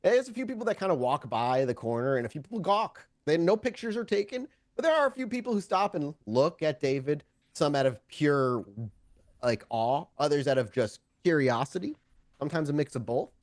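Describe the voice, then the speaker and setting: A man runs a tabletop game with very lively intonation. His narration is improvised and wordy, often pausing to find a word, and the sound is dry. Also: on the high side, no room sound. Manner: wordy, halting, searching for words. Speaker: game master.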